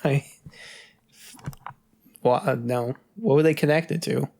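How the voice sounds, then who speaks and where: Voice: medium pitch, a little slow, vocal fry, darkish tone. A podcast co-host chatting casually about movie news, swearing freely and often.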